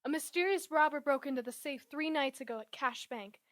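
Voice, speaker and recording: A woman's voice: high voice, brisk, a bit bright. A woman voicing an earnest, talkative bank manager who gets flustered easily. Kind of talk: amateur 1930s-style radio drama parody.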